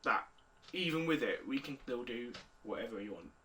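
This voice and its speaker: An eighteen-year-old man, calmly answering interview questions with pauses. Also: mid-range voice, recorded from a distance, slight background noise. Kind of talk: recorded video interview answers.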